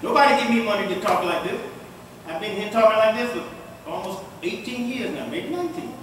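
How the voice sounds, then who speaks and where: A middle-aged man, an imam, giving a Friday sermon at a mosque in a conversational, joking way that builds to emphatic shouts. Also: high-pitched, lively intonation, a little fast, slight background noise. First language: English. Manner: conversational preaching, jokes and laughs, rises to emphatic shouts. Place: mosque room with tiled walls. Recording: very echoey hall, taken from a distance.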